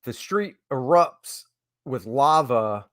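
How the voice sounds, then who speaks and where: A man's voice: medium pitch, lively intonation, takes it slowly, fairly bright tone, no echo, studio quality. A man hosting a casual livestream as a comic book artist, chatting informally with viewers and reading out their comments.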